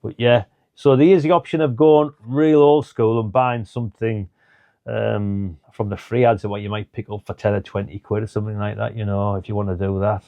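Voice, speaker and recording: A middle-aged British man with a soft, dark tone talks through products like a hobbyist reviewer. He is informal and chatty, often thinking out loud. The sound is dry and a little dull. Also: lowish voice, steady tone, moderate pace, gravelly, no room sound. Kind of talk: casual product rundown to camera.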